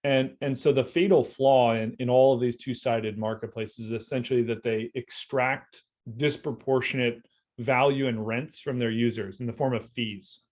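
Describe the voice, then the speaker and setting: A man's voice: medium pitch, steady tone, moderate pace, a little mellow. A man presenting an online company update, explaining at length and often repeating words as he thinks. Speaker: co-founder presenting.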